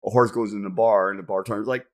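A young man in casual conversation, excitable and quick to jump in with his opinions. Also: fairly low pitch. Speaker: co-host. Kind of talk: casual chat.

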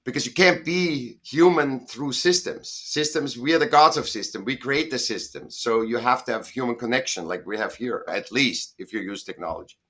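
A man's voice: mid-range voice, moderate pace, hardly any pauses, a bit bright. A middle-aged man, a guest on a video call, talking in a rambling, emphatic way and often correcting himself. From Austria. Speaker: guest artist. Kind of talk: casual interview talk. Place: home in Southern California.

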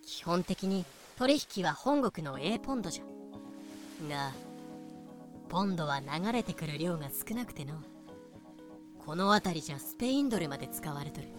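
A woman's voice: lowish voice, sing-song, normal speed, long pauses. A woman acting a haughty wise wolf woman who sells slaves, using proud, old-fashioned courtly speech in a fan-made voice drama. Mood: matter-of-fact, scornful. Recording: music behind the voice.

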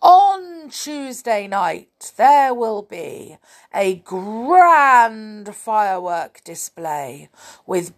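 A woman voicing a village bellman who calls out a public warning in a loud, slow, proclaiming way. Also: lowish voice, vocal fry, exaggerated voice. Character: village bellman, man, booming town crier.

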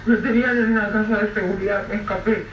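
A man's voice: high voice, steady tone, lightly acted. A man playing a beaten, exhausted husband. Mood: weak pleading. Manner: weak, halting, pleading. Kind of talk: radio drama acting. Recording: echoey, distant, dull, faint hiss.

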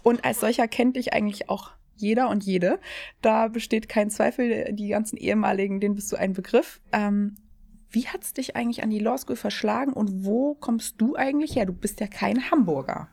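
A young woman hosts a casual podcast interview, her mid-pitched voice carrying a gravelly fry. She asks questions in an upbeat, friendly way. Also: moderate pace, a little mellow. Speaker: podcast host.

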